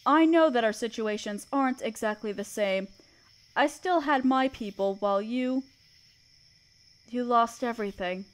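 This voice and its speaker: Woman doing a motherly spider queen for an audio roleplay, kinda high soft voice, gentle n regal, soothing. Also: natural voice. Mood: gentle, wistful. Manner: soft, soothing, deliberate storytelling. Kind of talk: comforting roleplay audio drama.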